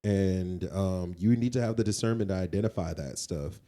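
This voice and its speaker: Casting: male podcast co-host, dark mellow tone, slightly low, conversational yet insistent and preachy. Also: normal speed. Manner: emphatic, moralizing, repeats points for weight. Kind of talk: conversational opinion on faith.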